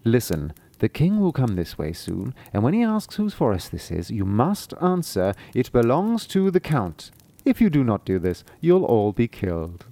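A man voicing a clever, scheming talking cat in boots, bossy with peasants and flattering to a sorcerer. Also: mid-range voice, normal speed, no room sound. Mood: commanding. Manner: bold, coaxing, commanding.

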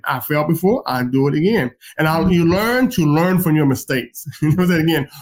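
Guy hosting an interview, motivational, kinda preachy, higher voice. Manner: motivational, lecturing. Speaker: host. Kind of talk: encouraging interview talk.

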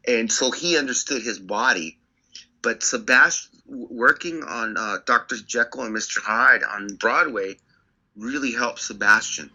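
A man, a guest on an interview, telling studio stories in a casual, animated way with short insistent bursts. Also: mid-range voice, a little slow, slightly rough, lo-fi sound. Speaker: record producer guest.

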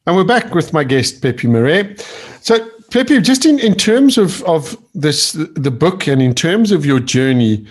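A man hosting a podcast interview, asking his guest questions in a conversational, relaxed way with fillers. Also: on the high side, a little slow, hardly any pauses, breathy edge. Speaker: podcast host.

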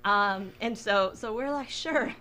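A woman from Taiwan telling her story casually as an interview guest, halting and thinking aloud. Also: mid-range voice, pushed and bright. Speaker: interview guest, bakery co-owner.

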